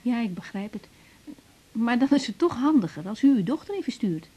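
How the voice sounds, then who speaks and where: A woman's voice: on the high side, big pitch swings, fairly quick, warm tone, slightly rough. An actress playing a young female doctor on her first day, polite, patient and a little unsure. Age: young adult. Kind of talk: 1980s radio drama acting.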